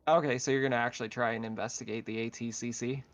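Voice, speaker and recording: A man's voice: medium pitch, fairly even intonation, fast. A man casually narrating an online tabletop roleplaying game as game master. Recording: dull lo-fi online voice chat.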